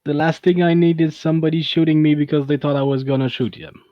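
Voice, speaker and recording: A man's voice: fairly high pitch, fairly even intonation, fast, no room sound, highs cut off, natural voice. A man voicing an earnest Russian soldier in a tabletop game, speaking clipped, broken English with a put-on Russian accent.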